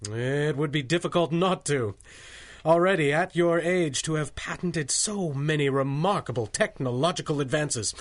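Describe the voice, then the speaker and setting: Man playing a higher-voiced, deferential bank manager. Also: normal speed, close to an everyday voice. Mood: obliging. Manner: obsequious, formal, fussy. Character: eager, deferential male bank manager, primly formal. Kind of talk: 1930s-style radio drama acting.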